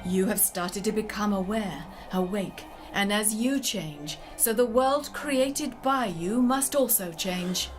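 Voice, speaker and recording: A woman playing a wise, cryptic sorceress mentor in a theatrical drama, measured, poetic and instructive. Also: medium pitch, natural voice. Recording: music under the voice.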